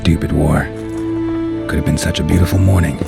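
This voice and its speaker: An actor playing a weary, sardonic warrior in a video game, with a gravelly voice and a dry, laconic manner. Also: male voice, very deep voice, fairly even intonation, a little fast, loud music behind the voice, exaggerated voice. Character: weary, sardonic monster-hunting warrior, gruff adult man. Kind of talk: video game cutscene acting.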